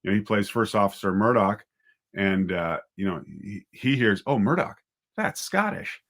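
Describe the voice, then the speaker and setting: A man with a fairly low voice in a relaxed interview setting. He talks as a writer and director, feeling his way through thoughts in a hesitant, conversational manner. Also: moderate pace. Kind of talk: casual interview talk.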